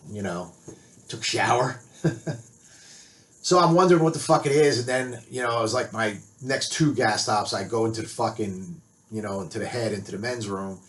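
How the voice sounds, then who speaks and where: A man's voice: on the low side, a bit bright. A middle-aged man, a biker and author, telling a road-trip story to camera in a rambling, blunt way and swearing constantly.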